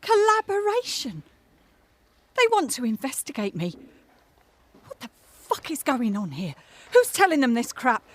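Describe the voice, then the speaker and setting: A woman's voice: high voice, wildly swooping pitch, a little slow, long pauses, dry recording, full character voice. A woman playing a hot-tempered, foul-mouthed, world-weary French cabaret singer in an audio drama, brash and dramatic. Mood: furious, accusing.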